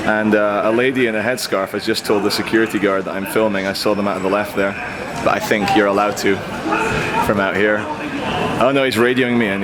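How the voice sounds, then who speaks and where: A hoarse man with a chesty cold, vlogging as he walks a city street with wry, sarcastic commentary. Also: lowish voice. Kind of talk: casual walking commentary. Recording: outdoor street recording with traffic noise. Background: street traffic noise.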